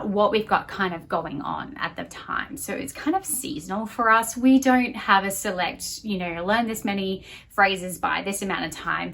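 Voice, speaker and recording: A woman's voice: mid-range voice, fairly bright tone. A woman in her thirties talking casually to camera as a channel host, giving a review in a small room at home. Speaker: homeschool channel host reviewing a language curriculum.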